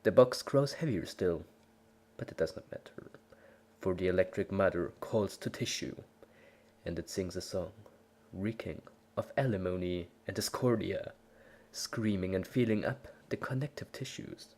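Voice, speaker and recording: A man's voice: low-pitched, normal speed. A man giving an over-the-top, bombastic dramatic reading of a story as an amateur narrator. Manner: theatrical, bombastic, swinging from whispers to shouts. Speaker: amateur reader of fan fiction.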